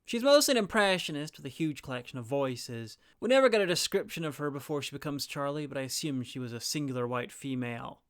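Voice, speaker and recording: A man's voice: fairly high pitch, animated, quick pace, few pauses, clean tone, no room sound. A man narrating a scripted review in a wry, blunt, sweary manner. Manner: blunt, sweary, dry humour. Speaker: reviewer and presenter.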